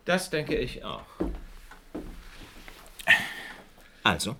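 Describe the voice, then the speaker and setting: A man acting in a radio drama as a shrewd, composed detective, speaking in a measured, polite, probing and drily ironic way. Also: mid-range voice, wildly swooping pitch, creaky, bright, forceful tone, a little hiss or hum, full character voice. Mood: cool, probing. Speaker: actor in a radio play.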